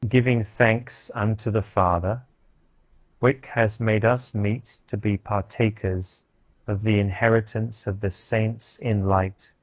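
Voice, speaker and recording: Synthetic man, lowish voice, slow scripture reading, dry sound, stray fillers. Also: dry recording, low-quality recording. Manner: slow, even recitation with stray filler artifacts.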